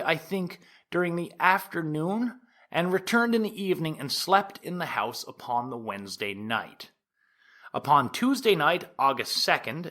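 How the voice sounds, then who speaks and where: A man playing an earnest 1890s prosecutor who delivers a formal courtroom opening to a jury in deliberate, emphatic phrases, as in an audio drama. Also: fairly high pitch, a bit bright.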